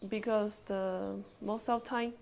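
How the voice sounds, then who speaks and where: Chinese woman guest, Chinese her first language, halting English, searches for words. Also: medium pitch, steady tone, gravelly, a little mellow. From China. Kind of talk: casual conversation.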